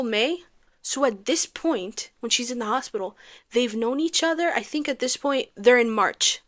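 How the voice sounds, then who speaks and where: Young female vlogger, animated and sarcastic, slightly high-pitched, comedic rant. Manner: animated, sarcastic, ranting with rhetorical questions. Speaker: movie review vlogger. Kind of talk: casual comedic movie review.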